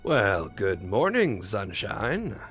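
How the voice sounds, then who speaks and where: A man's voice: lowish voice, sing-song, slow, a bit bright, full character voice. A man playing a cheerful, teasing soldier who croons his lines in an audio story. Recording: music clearly under the voice.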